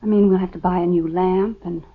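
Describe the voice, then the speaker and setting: An actress playing a sleepy, gently probing suburban wife in a 1950s radio sitcom. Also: female voice, on the low side, steady tone, fairly quick, husky. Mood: wry, patient. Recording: muffled vintage radio recording.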